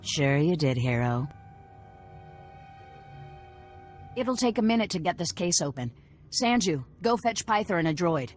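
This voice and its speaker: An actress playing a shrewd, tough rebel cell leader in a video game, sardonic and businesslike. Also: female voice, fairly low pitch, very animated, normal speed, soft background music. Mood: sardonic, impatient.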